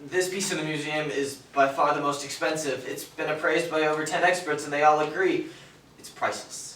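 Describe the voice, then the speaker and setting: Man acting a jittery, higher-voiced young intern. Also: steady tone, fairly quick, a bit bright, natural voice. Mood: nervous, stiff. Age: young adult. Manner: anxious, hesitant, stammering. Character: nervous young male intern, reluctant stand-in radio actor. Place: theatre stage, recorded from a distance. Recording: echoey stage, distant mic, faint noise.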